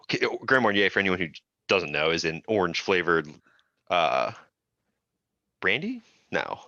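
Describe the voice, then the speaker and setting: A man's voice: sits low, very animated, normal speed, bright, forceful tone. A man guest on a podcast, chatting casually, laughing often and joking at his own expense. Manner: laughs often, self-deprecating. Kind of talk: casual chat. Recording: dull, dry lo-fi audio.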